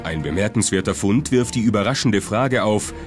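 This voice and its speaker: Male documentary narrator, lower register, clear music bed underneath. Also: normal speed.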